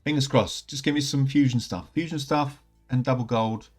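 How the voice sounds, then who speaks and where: A chatty man talks through opening game packs at his desk, his voice a bit croaky from an oncoming cold. He rambles along with plenty of fillers, and soft music plays behind him. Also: medium pitch, normal speed. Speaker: gaming channel host. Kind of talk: casual commentary while opening game packs.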